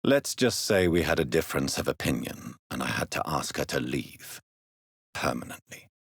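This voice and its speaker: An actor playing a refined, brooding doctor turned vampire in a video game, formal and articulate, with a deep, husky voice. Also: male voice, animated, moderate pace, no echo, clean studio sound, full character voice. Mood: measured, grave. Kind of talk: dramatic video game voice acting.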